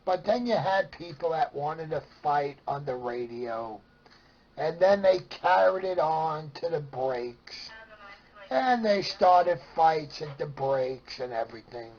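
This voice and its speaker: A middle-aged man with a Brooklyn New York accent and a husky, gravelly voice, casually reminiscing in a plainspoken, meandering way. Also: on the high side, lively intonation, slow, darkish tone, highs cut off. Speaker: storyteller sharing memories of CB radio.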